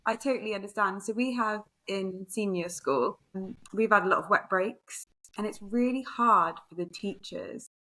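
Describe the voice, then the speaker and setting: A woman co-hosting a podcast, her voice hoarse and nearly gone, clearing her throat between points. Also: mid-range voice, moderate pace, a bit bright, poor audio. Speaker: podcast co-host, secondary teacher. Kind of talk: casual podcast chat.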